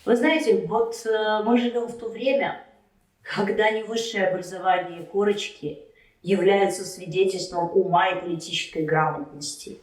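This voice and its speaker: A woman in a heated political debate, arguing with sharp, combative retorts. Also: mid-range voice, moderate pace, echoey room, recorded from a distance, low-quality recording. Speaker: debate candidate. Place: Kyiv.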